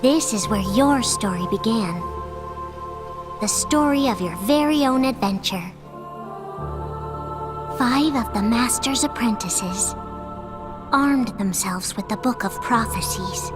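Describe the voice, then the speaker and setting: A measured storybook narrator telling a legend in a game cutscene. Her voice sits a little high, and loud orchestral game music fills the background. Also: animated. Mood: calm.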